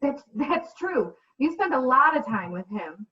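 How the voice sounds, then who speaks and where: A woman's voice: sits high, big pitch swings, measured pace, pushed and bright, exaggerated voice. A woman playing an exasperated, defensive single mother in a comedic radio play, recorded on a distant, muffled mic. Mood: relieved, tender.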